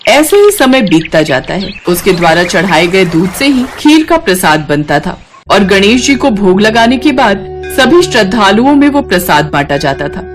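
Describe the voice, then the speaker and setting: A woman narrates a devotional village tale in an expressive storytelling style. Her mid-pitched voice moves with lively intonation, and music plays behind her. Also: normal speed, few pauses.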